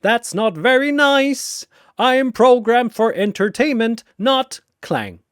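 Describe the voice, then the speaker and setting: A man's voice: very high voice, expressive, takes it slowly, clear, resonant tone, dry recording, studio quality, pitched above the performer's own voice, heavily acted. A man voicing a cheerful, boastful cartoon robot cat built to entertain, with stilted, sing-song delivery. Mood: indignant.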